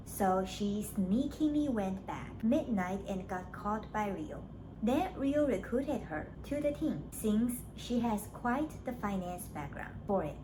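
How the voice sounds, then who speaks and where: A woman narrating a scripted summary of a story, as a book reviewer does. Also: medium pitch. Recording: clearly noisy background.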